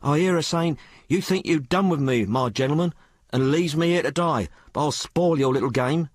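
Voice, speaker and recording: A man voicing a rough, frank working-class young woman in an audiobook, blurting out her tale in colloquial, ungrammatical speech. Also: on the high side, moderate pace, no room sound, clean studio sound, pitched above the performer's own voice, lightly acted. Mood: frank, gossipy. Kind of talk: audiobook character dialogue.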